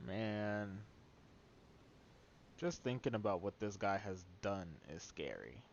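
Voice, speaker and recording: A man's voice: on the low side, expressive, takes it slowly, muffled recording, a little hiss or hum. A man streaming a video game with casual, sarcastic, teasing asides at the story. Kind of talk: casual live commentary over gameplay.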